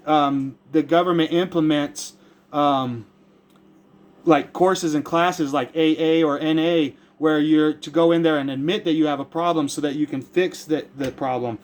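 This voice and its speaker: An American man speaking as a caller with a complaint, earnest and rambling, halting as he searches for formal words and often repeats himself. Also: on the high side, fairly even intonation. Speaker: caller making a complaint to a public agency.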